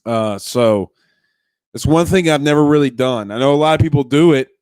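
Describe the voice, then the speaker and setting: A young man rambles through a casual live sports chat, restarting his sentences and calling listeners man and bro. His voice is smooth and resonant, a bit congested, and it sits at a middling pitch. The sound is close and dry, with no room echo. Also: normal speed, darkish tone. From Louisiana. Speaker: sports podcast host. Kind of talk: casual live-stream chat reading comments.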